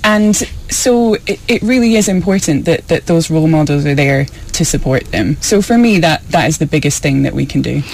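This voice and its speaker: A woman speaking as an interview guest on education, steady and measured. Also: low voice, gravelly, slight background noise, poor audio. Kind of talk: radio interview.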